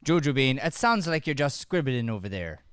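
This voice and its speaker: A man's voice: mid-range voice, sing-song, moderate pace, smooth, dry recording, studio quality, close to an everyday voice. A man playing a stern, easily flustered schoolteacher who interrogates and repeats himself in an improvised radio comedy. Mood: suspicious. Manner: interrogating, repetitive, flustered when challenged. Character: stern, exasperated male schoolteacher scolding a child in detention. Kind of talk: improvised old-time radio comedy acting.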